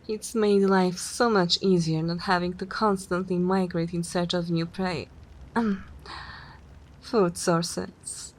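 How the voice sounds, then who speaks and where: A woman's voice: on the low side, steady tone, slow pace, poor audio. A woman voicing a composed, teasing and menacing vampire mistress in an ASMR roleplay, with a formal, condescending drawl. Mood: matter-of-fact.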